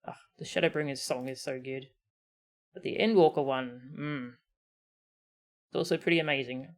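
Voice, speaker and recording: Low-pitched woman reacting on a livestream. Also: normal speed. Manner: enthusiastic, admiring asides. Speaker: streamer. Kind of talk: casual livestream reaction commentary.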